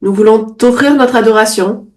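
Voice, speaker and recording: A woman interpreting a sermon phrase by phrase into French. She restates promptly after each pause and now and then corrects her wording mid-line. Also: a little high. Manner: quick restating, occasional self-corrections.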